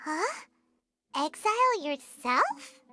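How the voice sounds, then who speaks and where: A woman voicing a small, childlike fairy companion in a video game, chatty and excitable, talking in exclamations. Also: very high-pitched, very slow, music in the background, full character voice. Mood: curious. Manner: speaks of herself in third person, exclamatory.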